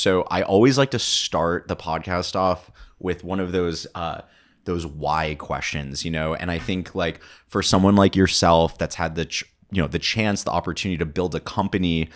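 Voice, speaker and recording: A man speaking conversationally as the host of a podcast interview. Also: low-pitched.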